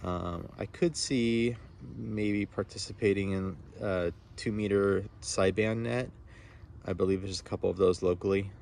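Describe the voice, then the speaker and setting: A man talks in a low voice full of fry. He chats casually as a radio operator, wandering through technical detail. He is outdoors, with faint noise behind him. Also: fairly even intonation, measured pace, darkish tone. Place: outdoors on a front lawn.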